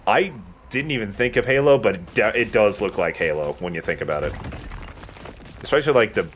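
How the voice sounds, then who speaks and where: A man's voice: lowish voice, animated, a little fast, a bit bright, slight background noise. A man giving casual let's play commentary, explaining things and correcting himself as he goes.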